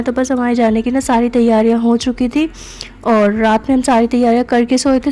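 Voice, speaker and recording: A woman's voice: a little high, dark tone, low-quality recording. A young woman narrating her family vlog in voice-over, chatty and full of fillers. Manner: chatty, many fillers like "na" and "matlab". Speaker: family vlogger.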